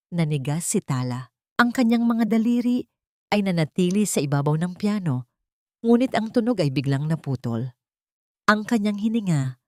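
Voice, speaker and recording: Female audiobook narrator, slightly low register, deliberate scripted drama read, very dry recording. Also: warm tone, no echo.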